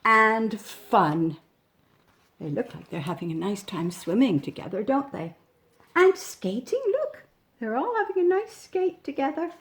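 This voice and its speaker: A woman reading a storybook expressively to young children, gently chatting about the pictures as she goes. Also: fairly high pitch, unhurried. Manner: gentle, animated, pointing out pictures with questions to the listener. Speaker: storybook reader.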